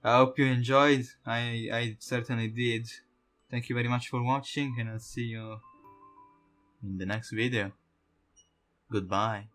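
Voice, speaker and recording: A man's voice: medium pitch, fairly even intonation, measured pace, pauses often, a bit bright, faint music behind. A man hosting a let's-play of a game, talking casually and swearing now and then. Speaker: gaming YouTuber, let's-play host. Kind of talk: casual let's-play commentary with on-screen text read aloud.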